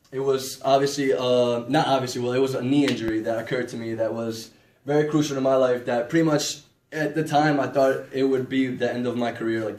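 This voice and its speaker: A man's voice: mid-range voice, a little mellow. Chatty young man in an echoey room. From Ecuador. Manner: rambling, filler-heavy, "like" repeated. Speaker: student presenter. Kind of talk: casual class presentation. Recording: echoey room with background hiss.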